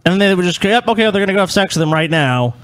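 A man's voice: high voice, expressive, racing, a bit bright. A man co-hosting a podcast with casual comedic banter, swearing freely and sounding incredulous as he reads news aloud. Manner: profane, incredulous. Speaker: podcast co-host.

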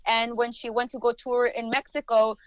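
A woman's voice: fairly high pitch, flat delivery, moderate pace, smooth, no room sound, highs cut off. A young woman chatting casually on a podcast, talking loosely with many fillers. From United States, Chicano family.

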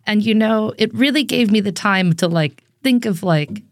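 A woman's voice: medium pitch, normal speed, no echo. Dry-witted young woman, recovering voice. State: recovering from losing her voice. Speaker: producer and game guest. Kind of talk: casual comedy game chat.

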